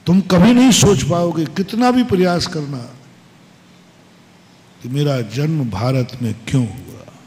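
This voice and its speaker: A male monk delivers a sermon in an unhurried, deliberate cadence, his voice sitting fairly high. He drives points home with rhetorical questions and repeated short sayings. Long reverb from a large hall trails each phrase. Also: a little mellow. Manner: slow, emphatic preaching with rhetorical questions and repeated maxims. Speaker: Jain monk preacher.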